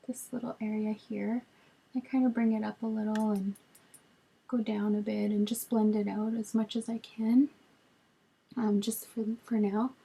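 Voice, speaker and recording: A woman in her twenties with a raspy voice from a cold, chatting casually through a makeup tutorial, recorded on a webcam in a small bedroom. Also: on the high side, poor audio. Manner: relaxed, chatty, lots of ums while applying makeup. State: hoarse from a cold. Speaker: beauty vlogger. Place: small bedroom with storage shelves, indoors. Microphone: webcam at arm's length.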